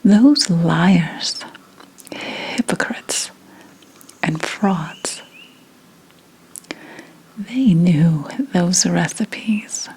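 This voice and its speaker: A woman playing a secretive, indignant 17th-century witch in a breathy whispered ASMR roleplay, speaking deliberately with dramatic pauses. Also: fairly low pitch, darkish tone, slight background noise, rough recording.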